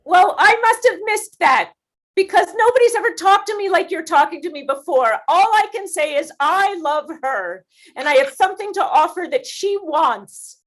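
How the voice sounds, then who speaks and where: Actress as a defiant lovestruck boss; very high, swooping, emphatic delivery. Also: female voice, animated, normal speed. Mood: pleading. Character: passionate wealthy woman boss, lovestruck and defiant. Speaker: improv performer. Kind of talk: improvised stage comedy.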